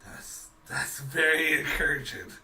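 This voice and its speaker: A man acting as game master, improvising tabletop narration in a halting, casual way. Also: a little high, bright, forceful tone. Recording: distant mic with faint noise.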